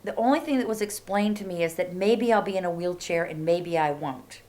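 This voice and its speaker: A woman in her forties from New York, speaking with a somewhat low voice. She talks plainly and candidly about her experience as a patient in a documentary interview. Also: brisk, a little mellow. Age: 40s.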